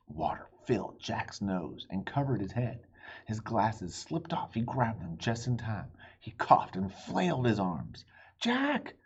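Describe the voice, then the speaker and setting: A husky-voiced man narrates a children's adventure story. His delivery is unhurried, very animated and full of suspense, on a slightly dull recording. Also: lowish voice. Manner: dramatic, suspenseful. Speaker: storybook reader. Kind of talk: expressive children's read-aloud narration.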